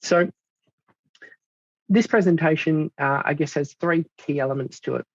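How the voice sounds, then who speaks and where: Gravelly Australian man presenting. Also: on the high side, dry recording. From South Australia. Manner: halting, many fillers. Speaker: club leader presenting. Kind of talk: presentation to a club audience. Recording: dull dry lo-fi sound.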